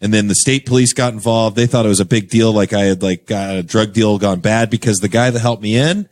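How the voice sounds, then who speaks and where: A man's voice: lowish voice, steady tone, brisk, a little mellow. A young man telling a long story in a casual chat as a podcast guest, rambling with lots of fillers and laughing as he goes. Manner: rambling, filler-heavy, laughs through stories.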